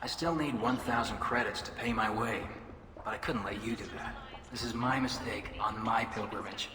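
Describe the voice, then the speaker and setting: A man's voice: lowish voice, steady tone, normal speed, a crowd in the background, natural voice. A man playing an earnest, downcast young alien pilgrim in a video game, speaking politely and with resignation. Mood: downcast, resigned. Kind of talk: video game dialogue acting. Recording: echoey hall with noisy ambience.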